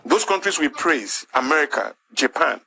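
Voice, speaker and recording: A man's voice: on the high side, big pitch swings, moderate pace, gravelly, pushed and bright, breathy edge. A man with a Nigerian English accent making an impassioned talk-show argument, emphatic and rhetorical, repeating his key phrases. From southwest Nigeria.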